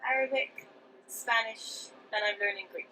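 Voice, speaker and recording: Chatty woman outdoors, um and like a lot, bright voice, faint street noise. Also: fairly high pitch, normal speed, poor audio. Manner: chatty, lots of fillers. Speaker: interviewee.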